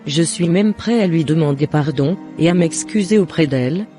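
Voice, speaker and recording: Man voicing a high-pitched jealous neighbour woman. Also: normal speed, warm tone, faint music behind, natural voice. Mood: astonished, resigned. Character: jealous, scheming woman neighbour turned remorseful.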